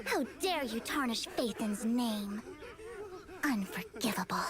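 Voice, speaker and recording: A woman voicing a proud, indignant young woman in an anime-style game trailer, with a measured, dramatic and scornful delivery. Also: fairly high pitch, very animated, a crowd in the background. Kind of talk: video game trailer voice acting. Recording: game trailer with loud music. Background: prominent music.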